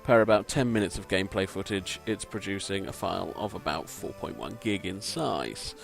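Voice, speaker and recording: A man with a croaky voice, giving rambling, self-deprecating gameplay commentary from a home mic and swearing casually. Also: low voice, normal speed, music in the background. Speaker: let's play commentator. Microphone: home desk microphone.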